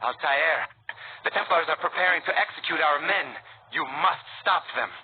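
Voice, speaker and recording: A man's voice: fairly high pitch, moderate pace, natural voice. A man playing an earnest, anxious, loyal resistance informant in a video game. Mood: anxious, surprised. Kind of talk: video game character acting. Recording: tinny band-limited game audio, faint noise.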